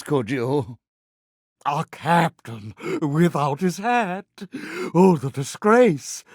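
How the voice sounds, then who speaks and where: Vain pirate villain, British accent, highish swooping pitch, grandiose, mannered, theatrical game voice. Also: male voice, no echo, studio quality, full character voice. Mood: indignant, wounded. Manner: pompous, grandiose, mannered formal speech. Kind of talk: theatrical video game character voice acting.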